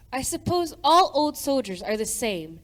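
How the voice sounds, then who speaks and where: A woman's voice: fairly high pitch, big pitch swings, slow pace. A woman playing a practical, skeptical wife and mother in an amateur radio play. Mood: dismissive. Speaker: high school theatre performer.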